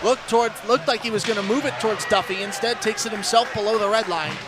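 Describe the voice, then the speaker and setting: A man's voice: sits high, fast, a crowd in the background, noisy background. A man calling live ice hockey on the radio as play-by-play announcer, in flowing descriptive calls that build on big plays.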